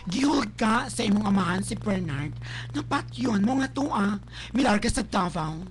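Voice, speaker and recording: A woman playing a fussy, caring, excitable nanny in a melodramatic radio drama, exclamatory and scolding, with a Cebuano Filipino accent. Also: medium pitch, moderate pace, close to an everyday voice. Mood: advising, chiding. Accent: Filipino, Cebuano-speaking. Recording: faintly noisy live radio capture.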